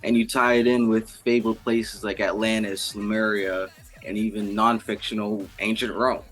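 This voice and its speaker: A man's voice: on the low side, little pitch movement, normal speed, fairly bright tone, soft background music. A man interviewing a musician, asking wordy, descriptive questions in a prepared but informal way.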